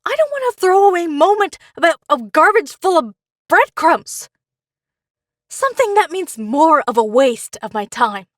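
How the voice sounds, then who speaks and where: A woman's voice: very high-pitched, very animated, normal speed, a bit bright, no echo, studio quality, heavily acted. A woman playing a bitter, sardonic, brooding caller in an audio drama. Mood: annoyed, regretful. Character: bitter, annoyed female caller searching for meaning.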